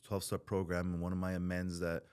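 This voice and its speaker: Male guest from Southern California, very low voice; candid, profane, loose and rambling delivery. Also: completely flat delivery, fairly quick, darkish tone, smooth. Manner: blunt, swears freely, rambling with restarts. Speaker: podcast guest in recovery. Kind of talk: candid podcast conversation.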